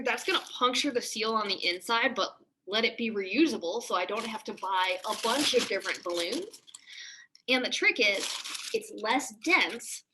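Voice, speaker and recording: A woman in her thirties, a physics teacher giving a recorded lesson, chatty and quick to laugh, filmed at a laptop camera in a classroom. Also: on the high side, bright, forceful tone, slight background noise, poor audio. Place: classroom with whiteboard.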